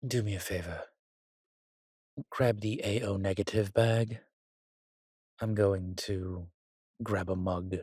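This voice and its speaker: An actor playing a weary, wry, courtly vampire in a roleplay audio drama, speaking haltingly to the listener in a refined, slightly pompous manner. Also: male voice, fairly low pitch, fairly even intonation, darkish tone, no room sound, studio quality. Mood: dry, genteel. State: weakened, recovering.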